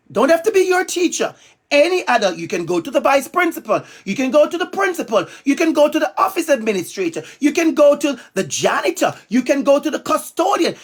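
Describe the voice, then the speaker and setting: A man with a Jamaican accent, from Jamaica, giving a playful, emphatic talk to young students as a school guest speaker. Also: extremely high pitch. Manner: playful, emphatic, calls out to the kids.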